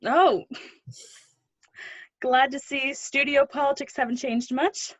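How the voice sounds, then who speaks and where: A woman reading the part of an older, guarded but protective woman in a play, conversational and gently teasing. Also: high voice, steady tone, takes it slowly, no echo. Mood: wry, teasing. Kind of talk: play readthrough acting.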